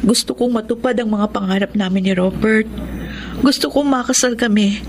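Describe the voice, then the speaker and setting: A woman's voice: fairly high pitch, moderate pace, background noise, close to an everyday voice. An actress playing a guilt-ridden young woman torn in love, in an emotional, melodramatic radio drama style. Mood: anguished. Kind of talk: Tagalog radio drama acting, confessional narration. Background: loud music.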